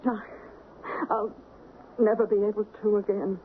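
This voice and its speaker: A woman's voice: mid-range voice, little pitch movement, unhurried, close to an everyday voice. An actress playing an anguished, conscience-stricken small-town woman in a radio drama, pleading and distraught. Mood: distraught, guilty. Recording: tinny, noisy old radio broadcast.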